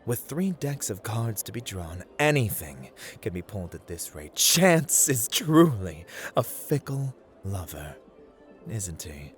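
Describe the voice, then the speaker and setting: A man playing a playful, taunting god of luck who deals cards, in a theatrical ASMR roleplay with deliberate speech and hushed murmurs. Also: on the low side, very animated, soft background music. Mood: sly, teasing. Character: playful, taunting male god of luck, smooth-talking card dealer.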